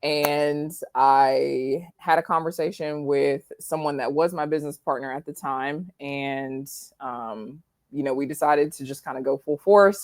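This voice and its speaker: Blunt, deadpan guest, speaking flat and dry over a close, dry mic. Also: female voice, extremely low pitch, no room sound. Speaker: podcast guest, bar owner. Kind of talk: casual chat.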